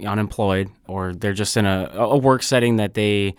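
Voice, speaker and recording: A man's voice: lowish voice, moderate pace, clean tone, dry recording, studio quality. A man interviewing a guest, asking long searching questions with fillers.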